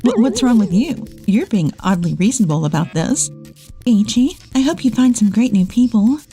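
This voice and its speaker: A woman's voice: mid-range voice, animated, moderate pace, dark tone, faint music behind, close to an everyday voice. A woman voicing assertive office women in a dubbed comic drama. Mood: smug. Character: bitter, bossy female ex-boss, and calm capable female employee.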